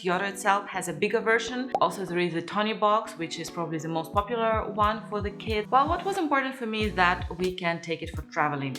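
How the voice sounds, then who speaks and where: A woman giving a casual, chatty product review in a conversational vlog style. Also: medium pitch. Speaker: parenting vlogger reviewing a kids' gadget.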